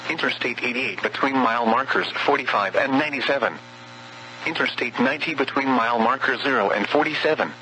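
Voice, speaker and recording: A synthetic man's voice reading scripted weather warning bulletins over radio in a measured, impersonal way. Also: mid-range voice, pushed and bright, slight background noise, rough recording. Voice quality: synthetic text-to-speech voice. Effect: weather radio broadcast. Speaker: automated weather radio announcer.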